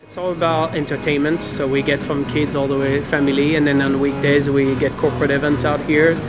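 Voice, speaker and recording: A man from France explains his karting business in a steady, fairly flat voice that sits a little high for a man, pausing often on "uh". He is recorded at an indoor track with loud music playing behind him. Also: rough recording. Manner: explains steadily with frequent "uh" pauses. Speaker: karting center manager. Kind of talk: casual interview.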